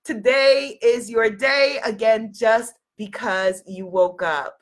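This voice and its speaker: Woman, spiritual coach, live stream, slightly high pitch, sniffling from a cold, encouraging, repeats affirmations. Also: fairly even intonation, slow. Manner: encouraging, repeats affirmations, reads out viewer comments. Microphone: live video stream.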